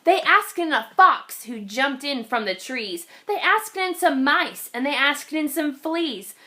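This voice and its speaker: A woman reading a children's storybook aloud in an expressive, dramatic way with deliberate phrasing. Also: sits high. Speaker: children's read-aloud reader.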